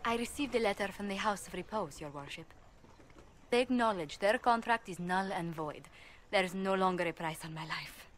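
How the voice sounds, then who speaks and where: An actress playing a gentle, earnest noblewoman ambassador in a video game, speaking politely and formally with careful wording. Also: mid-range voice, moderate pace, a little hiss or hum, lightly acted. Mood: composed, relieved. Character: poised, courteous noblewoman ambassador, former bard, gentle and earnest. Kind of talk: video game cutscene acting.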